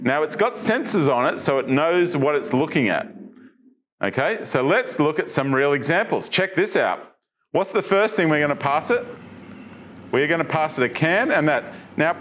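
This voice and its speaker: A man's voice: fairly high pitch, a bit bright. A middle-aged man presenting tech news in a studio, chatty and casual, with many fillers and restarts, asking the viewer questions. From Australia. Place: studio with branded backdrop.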